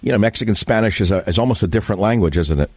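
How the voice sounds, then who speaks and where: An older man with a New York accent, hosting a radio talk show. His voice sits low, and his questions come blunt and pointed, rising in emphasis. It is dry and close on a studio mic. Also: animated, very fast, darkish tone, no room sound. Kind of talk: radio call-in interview.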